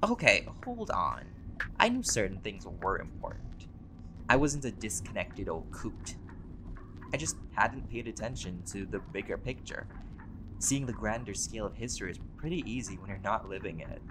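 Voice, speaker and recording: A man's voice: lowish voice, big pitch swings, moderate pace, noisy background. A man playing a laid-back, vain, centuries-old vampire in a conversational roleplay audio drama, with casual, sweary, bantering delivery. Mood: reflective, candid.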